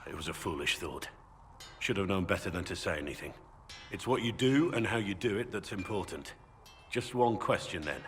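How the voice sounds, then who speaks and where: Gruff middle-aged warrior, low voice, blunt, earnest, brooding, fantasy game dialogue, music behind. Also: male voice, animated, moderate pace, fairly bright tone. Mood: subdued, reflective. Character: gruff, brooding bearded veteran warrior, a principled Grey Warden. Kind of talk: video game dialogue acting.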